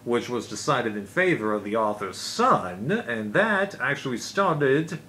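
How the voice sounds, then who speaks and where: A man's voice: mid-range voice, sing-song, unhurried, pushed and bright, slight background noise. A man giving a casual, unscripted explainer as a video host, in a rambling, conversational way.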